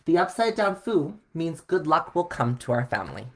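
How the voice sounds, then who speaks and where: Man reading as a gentle storybook father. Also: fairly high pitch, big pitch swings, moderate pace. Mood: explaining. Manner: soft-spoken, encouraging.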